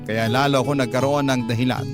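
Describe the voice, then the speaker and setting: A man plays a sincere young man confiding his story in scripted radio drama narration. His pitch sits mid-range, and guitar music plays clearly behind him. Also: fairly even intonation, fast talker, lightly acted. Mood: earnest, reflective.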